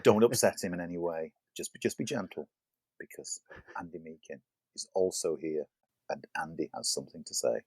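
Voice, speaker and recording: A man's voice: sits low, brisk, a little mellow, slightly rough, dry recording. A man with an English accent, hosting a film podcast in a teasing, casual manner, with a wheezy voice. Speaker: podcast host. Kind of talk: casual chat.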